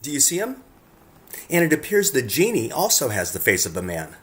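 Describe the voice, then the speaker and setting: A man's voice: medium pitch, wildly swooping pitch, fairly quick. A man reading scripted narration in an earnest way, speaking directly to the viewer as he presents his work. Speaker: narrator presenting own photographs.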